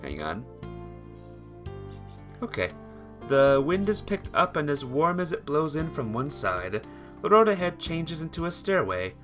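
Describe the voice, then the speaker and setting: A man's voice: a little high, sing-song, a little slow, long pauses, fairly bright tone, soft background music. A man streaming a visual novel, switching between casual chat with viewers and reading the story aloud. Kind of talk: casual livestream chat mixed with expressive read-aloud narration.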